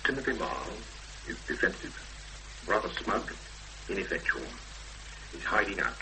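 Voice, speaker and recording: Deep-voiced, donnish analyst, noisy old recording. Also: male voice. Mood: measured. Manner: donnish, hesitant, thinking aloud. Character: Edgar, analytical, self-assured male literary profiler. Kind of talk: naturalistic 1970s radio drama acting. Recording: noisy hummy 1970s radio broadcast recording.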